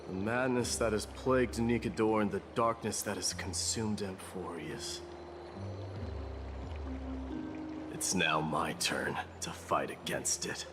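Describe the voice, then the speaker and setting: An actor playing a solemn, resolute warrior king turned god in a video game, speaking in a grave, commanding, formal way. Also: sits low. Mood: calm, resolved. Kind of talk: dramatic video game voice acting. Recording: loud music under the voice.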